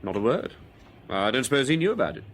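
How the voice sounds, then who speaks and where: An actor playing a bitter, nervy war veteran gentleman in a radio drama, with an upper-class English accent and excitable public-school slang. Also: medium pitch, fast talker, a little hiss or hum. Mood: musing, amused.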